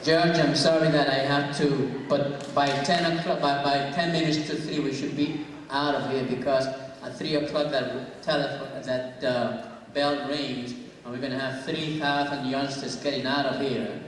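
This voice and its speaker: A man hosting a school event, briskly introducing speakers and leading applause. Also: medium pitch, little pitch movement, recorded from a distance, slight background noise. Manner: brisk, practical, leads applause. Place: large school hall. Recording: echoey hall, mic heard from afar.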